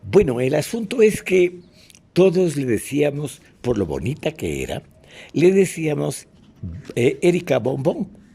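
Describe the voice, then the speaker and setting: A man in his eighties with an Ecuadorian accent reminisces in an interview, haltingly, pausing to search for names. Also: fairly high pitch, big pitch swings, creaky, mellow, husky. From Otavalo, Ecuador. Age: 80s. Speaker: interviewee, veteran radio announcer and actor.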